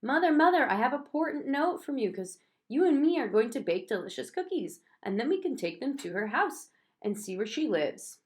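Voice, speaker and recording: A woman voicing an excitable, stubborn, bossy young schoolgirl in a children's storybook, with childish grammar and emphatic delivery. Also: sits high, fairly quick, pitched above the performer's own voice. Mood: eager, pleading.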